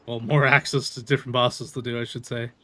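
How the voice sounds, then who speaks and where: A man's voice: medium pitch, flat delivery, brisk, sharp tone. A man giving casual, chatty gameplay commentary as a streamer, reacting aloud to the game. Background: game audio and music.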